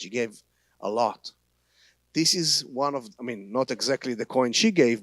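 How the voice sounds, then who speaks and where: Israeli guest speaker, Hebrew accent, mid pitch, dry close sound, halting phrasing with restarts. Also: male voice, dry recording. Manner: halting, non-native grammar with frequent restarts. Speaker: guest speaker, tour guide. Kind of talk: church teaching talk. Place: church.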